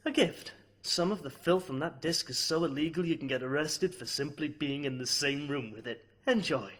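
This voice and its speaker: A man's voice: a little high, normal speed, fairly bright tone. An actor playing a smug, sadistic male villain in an audio drama, mocking, theatrical and manipulative. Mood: sly, gleeful.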